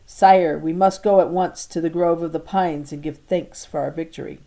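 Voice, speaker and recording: A woman's voice: low voice, fairly even intonation, moderate pace, dark tone. A woman reader voicing a young girl who plays a brave queen, speaking in grand, theatrical, old-fashioned phrases for a storybook. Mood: triumphant, commanding. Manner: theatrical, grand archaic speech. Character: young girl playing a brave, regal make-believe queen.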